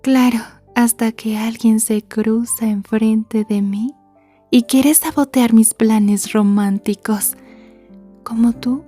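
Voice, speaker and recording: A woman's voice: fairly high pitch, steady tone, slow pace, warm tone, soft background music. A woman playing an obsessive, sweetly menacing yandere schoolgirl in an ASMR roleplay, murmuring with mock-polite menace. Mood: cold menace.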